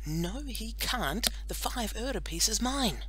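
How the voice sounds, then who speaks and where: A woman's voice: a little high, lively intonation, takes it slowly, noisy background, pitched above the performer's own voice. A woman voicing a clever, confident little boy in a storybook, eager and matter-of-fact. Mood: whispered protest.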